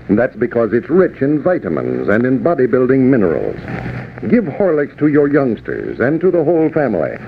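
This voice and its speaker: An American man reading a scripted sponsor announcement as a 1930s radio announcer. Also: medium pitch. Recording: muffled, slightly noisy 1930s radio recording.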